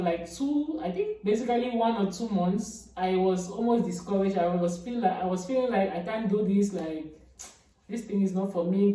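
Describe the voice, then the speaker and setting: A young woman chatting casually about herself for her vlog, with a big voice and lots of fillers and restarts. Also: mellow. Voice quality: big, deep-sounding voice. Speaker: YouTuber. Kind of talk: casual get-to-know-me vlog. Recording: echoey room, voice from a distance.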